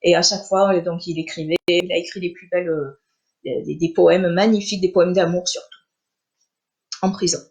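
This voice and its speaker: A middle-aged woman born in Armenia, an author chatting on a live stream, speaking with many pauses, fillers and restarts. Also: lowish voice, steady tone, darkish tone. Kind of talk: casual live-stream interview.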